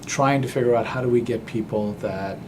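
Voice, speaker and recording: A man's voice: medium pitch, fairly quick, background noise. A man from Pakistan answering interview questions in a friendly way, often repeating words as he starts. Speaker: interview guest, business founder.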